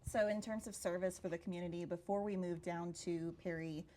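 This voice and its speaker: A woman's voice: fairly low pitch, steady tone, brisk, warm tone. A woman in her thirties who grew up in Hawaii, a podcast guest who tells her story in a bubbly way and laughs often. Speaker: tourism director, guest. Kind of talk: casual podcast conversation. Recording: faint background noise.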